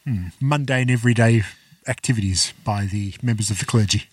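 Man, podcast co-host, wry and matter-of-fact, slightly breathy voice, dry distant sound. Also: lowish voice, a little fast, dry recording. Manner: dry, wry, correcting details. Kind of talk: casual chat reviewing an old film.

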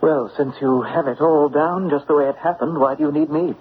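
Male actor as anguished young gentleman, radio drama. Slightly high voice, earnest and wounded, on a tinny old recording. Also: fairly even intonation, fairly quick, close to an everyday voice. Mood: earnest, yielding. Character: anguished, stubborn, loyal young gentleman in love. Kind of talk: classic radio drama acting. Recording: phone-like old radio recording, faint noise.

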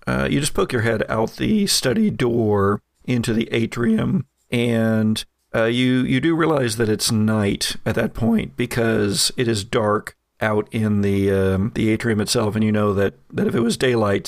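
A man working as game master, improvising descriptive second-person narration of a tabletop game in a halting way. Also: medium pitch, gravelly, no room sound, studio quality.

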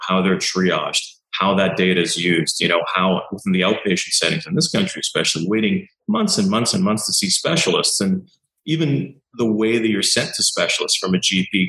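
A doctor and founder in his thirties, telling stories in an animated way and acting out quoted exchanges, with lots of "you know" fillers. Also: deep voice, echoey room, rough recording. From Canada. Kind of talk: conversational interview answers.

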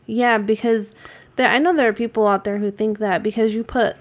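A woman's voice: on the high side, steady tone, a little fast. Rambling, swearing New England woman. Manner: rambling, swears casually, many fillers. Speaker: podcast co-host. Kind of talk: casual chat.

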